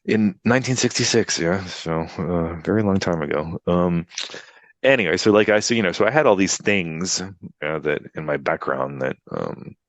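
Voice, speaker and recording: A man's voice: extremely low pitch, no room sound. An American man in his fifties, telling his life story in a casual interview with lots of fillers and tag questions. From United States. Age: 50s. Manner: rambling, filler-heavy, frequent "you know" and "right?". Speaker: interview guest, attorney. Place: Poland, remote interview. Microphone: video call.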